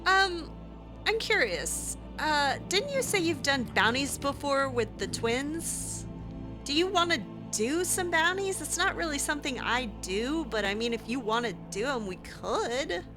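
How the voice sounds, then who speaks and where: A woman's voice: sits high, animated, unhurried, over music. A woman doing in-character improvised narration of a video game, musing aloud to herself as she goes. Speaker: let's play host roleplaying her character.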